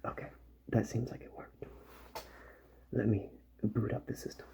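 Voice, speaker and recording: A man doing a soft-spoken ASMR roleplay as a repair doctor, with gentle, slow, partly whispered delivery. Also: on the low side, level tone, gravelly. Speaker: ASMR performer playing a robot repair doctor.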